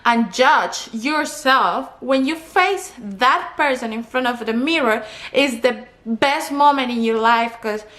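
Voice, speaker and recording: A woman's voice: on the high side, takes it slowly, few pauses, a bit bright. A young woman from Colombia, a native Spanish speaker with a Colombian accent, giving earnest travel advice to camera and counting off her points. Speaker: travel vlogger. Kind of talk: talking to camera.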